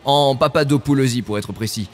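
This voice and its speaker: A man's voice: medium pitch, a little fast, faint music behind, close to an everyday voice. A man playing a self-assured, eccentric tycoon-scientist in a comedic sci-fi radio play, pompous, theatrical and evasive.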